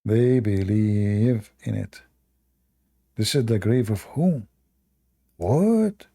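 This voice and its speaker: A man's voice: on the low side, lively intonation, drawn-out words, creaky, dark tone. A man hosting a live-stream debate, talking to the chat in a sarcastic, mocking way. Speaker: livestream debate host.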